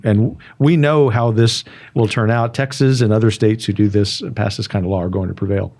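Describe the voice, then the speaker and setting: Sex: man. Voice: low and gravelly. Role: interview host. Behind: faint music. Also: warm tone. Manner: measured and persuasive, with repeated words. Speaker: talk show host. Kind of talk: interview conversation.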